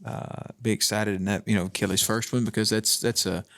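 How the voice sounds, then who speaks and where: A man's voice: fairly low pitch, steady tone, normal speed, fairly bright tone. A man on a casual panel, folksy and agreeable, using rural grammar. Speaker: panel guest.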